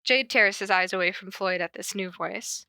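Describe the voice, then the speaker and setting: A woman's voice: medium pitch, normal speed, creaky, sharp tone, no room sound, studio quality. A woman podcast host cold-reading a story aloud, with casual, self-deprecating asides.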